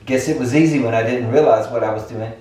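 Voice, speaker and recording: A man's voice: medium pitch, quick pace, warm tone. A man reading story game dialogue aloud, with casual asides to his fellow reader. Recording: very echoey room, far from mic.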